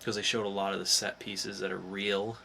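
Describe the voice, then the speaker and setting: Man, bright forceful voice, casual podcast co-host, informal fan enthusiasm, faint background noise. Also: low-pitched, level tone, brisk, gravelly. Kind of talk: casual entertainment news chat.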